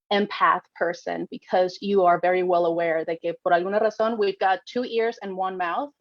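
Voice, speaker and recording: A woman hosting a casual, upbeat panel, effusive and expressive, dropping Spanish words and "y'all" into her English. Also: on the low side, no room sound, muffled recording. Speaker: host, nonprofit representative.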